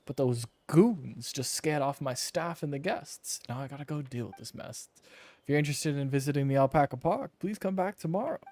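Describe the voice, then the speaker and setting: A man's voice: a little high, a little fast, a little mellow, no echo, natural voice. A man voicing a flustered, grateful old alpaca park keeper in a video game, fussy and earnest. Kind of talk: reading game dialogue in character.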